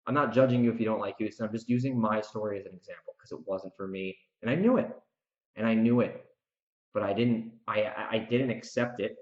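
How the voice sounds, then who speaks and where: A man's voice: on the low side, brisk, darkish tone. American man, podcast host, opinionated, emphatic, casual solo rant, dull sound, roomy echo. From United States. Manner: opinionated, emphatic, talks directly to the listener. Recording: dull, echoey room recording.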